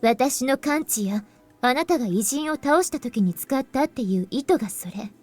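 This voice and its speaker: Composed, high-voiced anime game heroine. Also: female voice, lively intonation, quick pace, a little mellow, slightly rough. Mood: serious, explaining. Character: composed young woman revealing grave secrets, anime game heroine. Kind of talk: Japanese visual novel voice acting. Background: game music.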